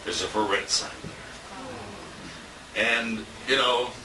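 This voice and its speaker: A man's voice: lowish voice, takes it slowly. A man giving an informal tribute speech at a dinner, telling rambling, chuckling anecdotes, picked up by a handheld camera. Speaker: radio colleague giving a tribute. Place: restaurant dinner event, indoors. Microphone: handheld compact camera at a distance. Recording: distant handheld camera, roomy, noisy.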